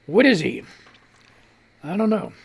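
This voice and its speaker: A gravelly, husky man hosts a live talk radio news stream and riffs sarcastically on headlines and posts, swearing now and then. His pitch swings a lot while he talks. He sounds like he is fighting off a cough. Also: very animated, measured pace. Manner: ranting, sarcastic, swears freely. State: cold hands, holding back a cough. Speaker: radio news show host. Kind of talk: casual political commentary, reading and reacting to posts. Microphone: studio mic on a live stream.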